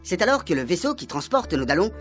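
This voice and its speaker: Radio serial narrator, animated, Réunionese accent, music bed. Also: medium pitch, fast talker. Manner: lively storytelling.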